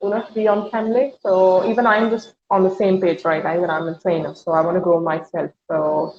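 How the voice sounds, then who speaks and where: A woman on a video call keeps asking curious questions and reacts often, her voice on the lower side. Her side of the call sounds echoey and lo-fi. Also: flat delivery, fairly quick. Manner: curious, asks many questions, frequent reactions. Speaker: English trainer. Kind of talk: casual video-call conversation.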